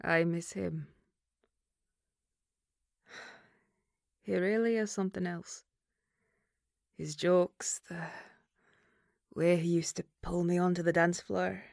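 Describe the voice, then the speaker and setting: A woman playing a stern, duty-bound banshee mother in an audio roleplay, speaking formally and gravely. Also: on the low side, fairly even intonation, unhurried, long pauses, darkish tone, no room sound, studio quality. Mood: wistful.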